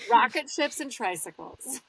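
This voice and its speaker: A woman's voice: high-pitched, rough recording. A woman from Idaho who works as an audiobook narrator, chatting playfully as a podcast guest. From Idaho, USA. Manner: playful, lively storytelling. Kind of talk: casual podcast chat.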